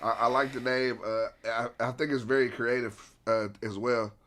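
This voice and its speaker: A man hosting an interview, asking questions in a halting way. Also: medium pitch, fairly even intonation, fairly bright tone. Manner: halting, asks short questions. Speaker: interview host.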